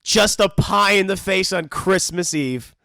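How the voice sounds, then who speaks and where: A man's voice: high voice, unhurried, vocal fry, bright, forceful tone, dry recording, clean studio sound. A man casually joking through a cartoon episode commentary, often mock-voicing the characters. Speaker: commentary host.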